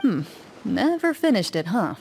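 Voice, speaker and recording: A woman playing a composed, stern, principled duelist in a video game, speaking in a measured, firm way. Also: mid-range voice, sing-song, vocal fry, darkish tone, faint music behind. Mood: calm, encouraging. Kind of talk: video game dialogue acting.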